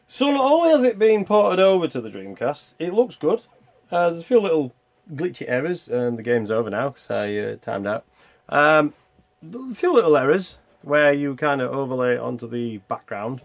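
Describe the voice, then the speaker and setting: A man's voice: a little high, no room sound. A man giving casual, rambling gameplay commentary, thinking aloud as he plays and reviews a video game.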